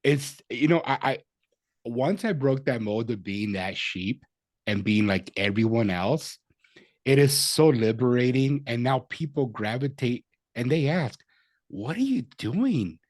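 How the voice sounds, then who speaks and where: Lively-pitched man hosting an interview. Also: a little slow, no echo. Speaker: interview host. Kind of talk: casual conversational interview.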